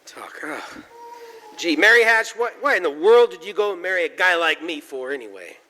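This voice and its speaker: A man's voice: high-pitched, animated, a little fast, sharp tone. An actor playing an earnest, folksy, stammering young family man in a 1940s-style radio drama, recorded live in a church. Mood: despondent. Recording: live church hall, long reverb.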